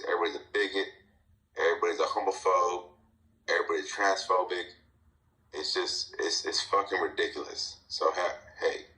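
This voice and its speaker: A man's voice: deep voice, sharp tone, roomy sound, poor audio. A man moderating a group talk, giving a blunt, profane, repetitive opinion. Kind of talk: casual opinion rant.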